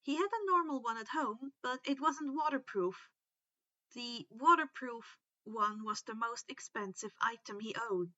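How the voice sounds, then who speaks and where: Woman, animated story narrator, bright tone, very dry slightly dull recording. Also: high-pitched, no room sound. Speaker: reader of fan fiction for online listeners. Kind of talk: expressive audiobook-style story narration.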